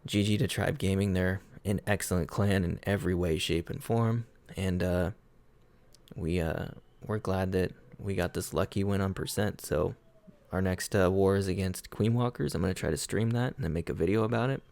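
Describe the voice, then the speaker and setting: A man giving a relaxed, conversational breakdown of game attacks as he watches them play, his voice hoarse and going out. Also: sits low, normal speed. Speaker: clan player reviewing war attacks. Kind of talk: casual gameplay commentary.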